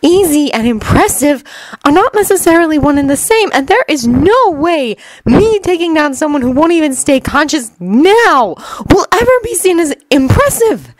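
Woman as blunt teenage ninja girl, proud, complaining, raised pitch, animated, story reading. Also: normal speed, no room sound. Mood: put out, complaining. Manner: emphatic, complaining.

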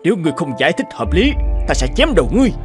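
This is a pompous, bullying cartoon king. He scolds and threatens in a fairly high, wildly varied delivery. Faint music plays behind him. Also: very animated, racing. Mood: angry. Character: imperious, bullying fat king, quick to threaten. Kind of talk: dubbed cartoon fairy tale acting.

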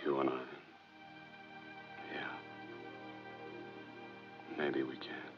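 Low-voiced brooding male scientist, 1950s film. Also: monotone, very slow, music in the background, full character voice. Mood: subdued. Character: brooding, determined male rocket scientist accused of murder. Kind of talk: 1950s film drama acting. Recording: muffled 1950s film soundtrack.

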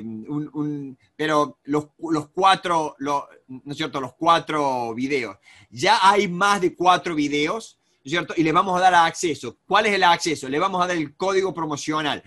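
Bright-voiced Argentine man, rambling webinar host. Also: on the high side. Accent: Argentine Spanish. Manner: rambling, many restarts and fillers. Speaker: negotiation coach. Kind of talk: live webinar presentation. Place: home office with bookshelves. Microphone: video call webcam.

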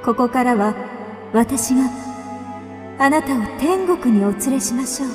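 An actress playing a gracious heavenly lady and guide, gentle and stately, in a radio drama. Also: sits high, expressive, a little slow. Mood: gracious, welcoming. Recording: long hall reverb under music.

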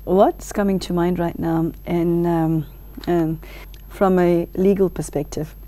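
Mellow, low-voiced woman interviewer. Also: fairly even intonation. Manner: tentative, pausing, carefully phrased. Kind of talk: reflective interview questioning. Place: large library hall, indoors.

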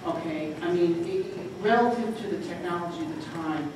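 A man's voice: high voice, a little fast. A man moderating a conference panel, hesitant and repeating words. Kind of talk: panel discussion. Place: hotel conference room, panel table. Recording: echoey hall recorded from far off, some noise.

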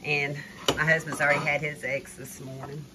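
An older woman chatting casually from behind a phone camera in a home kitchen, gently coaxing a child with tag questions while filming. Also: low-pitched, level tone, normal speed, over music, rough recording. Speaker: vlogger filming a grandchild cooking. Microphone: phone camera held by the speaker. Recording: kitchen clatter of pots and dishes.

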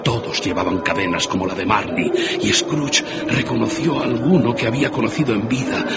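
Deep-voiced male storyteller over loud noise. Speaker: narrator. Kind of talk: scripted dramatic story narration. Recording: muffled, loud background ambience and noise.

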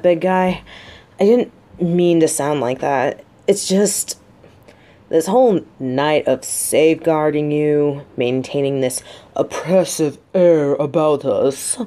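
A woman's voice: sits low, mellow. A woman playing a guarded, sarcastic but secretly vulnerable lieutenant and bodyguard in an intimate audio roleplay. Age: adult. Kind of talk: scripted roleplay audio drama, addressed to listener.

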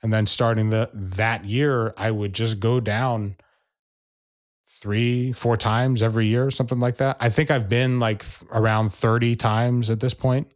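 A man speaking as a podcast guest, a relaxed storyteller in casual interview chat. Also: medium pitch, measured pace. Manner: relaxed storyteller, acts out quoted people. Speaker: percussionist and educator, podcast guest.

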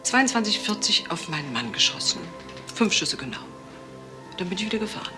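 An actress in a German crime radio play voicing a sardonic, defiant woman with a mocking, deliberate manner. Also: medium pitch, very animated, over music, lightly acted.

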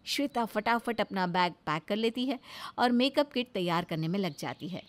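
A woman's voice: mid-range voice, animated, normal speed. A woman narrating a scripted story as its storyteller.

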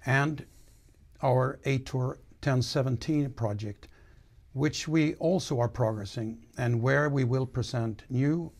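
Older board chairman with a gravelly voice: formal, measured studio address on a lapel mic. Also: mid-range voice, a little mellow. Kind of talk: prepared corporate address. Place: studio against a dark backdrop.

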